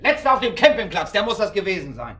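An actor playing a brash, irritable club playboy in a radio drama, with a mocking, flippant manner. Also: male voice, sits high, big pitch swings, quick pace, crowd noise. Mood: sneering. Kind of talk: naturalistic radio drama acting.

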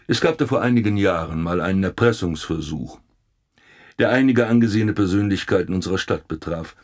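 An actor playing a blunt, grumbling police commissioner with a sardonic, gossipy manner in a German radio play. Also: male voice, sits low, brisk, lightly acted. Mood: suspicious, confiding. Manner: sardonic, colourful.

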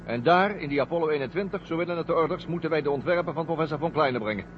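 A man playing an excitable, eager astronaut crewmate in a vintage science fiction radio play. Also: on the high side, very fast, natural voice. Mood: urgent, hushed awe. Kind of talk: 1970 Dutch science fiction radio drama acting. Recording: muffled, noisy old radio play recording.

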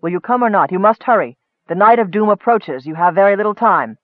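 A man voicing a frail, sickly, bitter dark wizard in a fantasy audiobook, softly rasping and mocking, on a muffled recording. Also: high voice, animated, no room sound. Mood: soft, ominous. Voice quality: soft, rasping, breathy. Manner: mocking, cryptic, measured.

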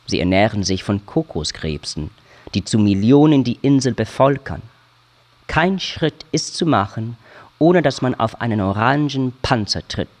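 A man giving a measured, scripted literary narration for a radio drama, telling the story in short declarative phrases. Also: mid-range voice, animated. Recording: loud music under the voice. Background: loud music with brass sounds.

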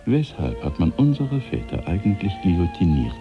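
An actor playing a curious, sardonic French vicomte in a German radio play, talking like an amused society gossip. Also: male voice, low-pitched, wildly swooping pitch, normal speed, creaky, phone-quality sound, over music, full character voice.

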